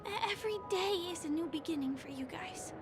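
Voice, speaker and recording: An actress playing a gentle, earnest young woman in a video game, soft-spoken, hesitant and sincere. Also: very high-pitched, expressive, quick pace. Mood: sincere, grateful. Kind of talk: video game character dialogue. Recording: background music under the voice.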